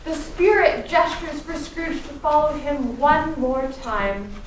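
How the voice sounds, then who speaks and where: A woman's voice: sits high, slow. A woman narrating a scripted Christmas radio play as its storyteller. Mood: dramatic. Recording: distant echoey stage, faint noise.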